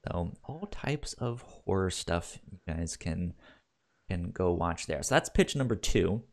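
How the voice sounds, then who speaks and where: A man co-hosting a podcast in casual chat, halting and often repeating the starts of his words. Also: sits low, expressive. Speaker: podcast co-host.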